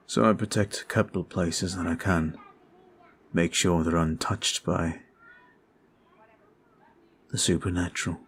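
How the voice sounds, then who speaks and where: A man voicing a brooding male vampire who is weary and guilt-ridden, talking slowly and softly in an ASMR roleplay. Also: low voice, slight background noise. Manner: slow, soft, confiding. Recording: faint music behind.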